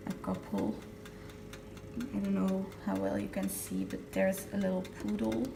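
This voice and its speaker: A young woman in her twenties with a slightly raspy just-woken voice and hiccups, chatting casually while showing gifts, recorded on a handheld camera. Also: mid-range voice, echoey room, background noise. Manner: chatty, self-narrating while wrapping gifts. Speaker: vlogger. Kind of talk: casual vlog chat. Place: home kitchen and living room.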